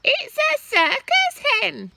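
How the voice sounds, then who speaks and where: A woman's voice: very high-pitched, wildly swooping pitch, takes it slowly, vocal fry, heavy background noise, voice pushed up, exaggerated voice. A woman voicing a bossy, crotchety old grandmother from a children's storybook, scolding and boastful. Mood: amazed. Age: older. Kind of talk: animated children's storybook character voice.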